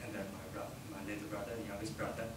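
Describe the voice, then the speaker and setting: A middle-aged man with an Indonesian accent tells his own story at a panel discussion, pausing often mid-sentence. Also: fairly low pitch, little pitch movement. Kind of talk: personal account at a panel discussion. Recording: roomy, noisy, distant microphone.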